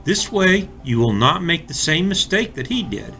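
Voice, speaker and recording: Male children's story reader, slightly high voice, very varied melody, expressive delivery to young listeners. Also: faint music behind. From North Carolina, United States. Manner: deliberate, expressive, addressing young listeners directly. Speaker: storybook reader for children, school resource officer.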